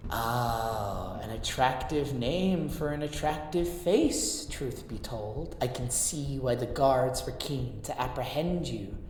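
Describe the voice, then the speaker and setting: A woman playing a motherly but dangerous lycan mercenary in an intimate roleplay audio drama, teasing in a slow purr. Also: rumbling low, expressive, darkish tone, heavily acted. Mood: coaxing, flirtatious. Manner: slow, teasing, purring endearments. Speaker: amateur voice actor. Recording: echoey reverb with music underneath.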